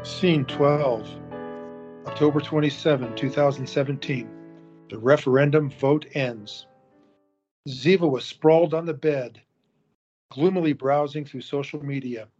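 A man narrating an audio drama in a measured storytelling manner. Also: a little high, darkish tone, soft background music. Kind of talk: scripted narration. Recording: dull lo-fi recording.

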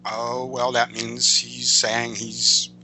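Bright-voiced older American man, discursive talker. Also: medium pitch, completely flat delivery, creaky. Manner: discursive, halting, self-correcting. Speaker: podcast guest, veteran writer. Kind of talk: reminiscing interview conversation.

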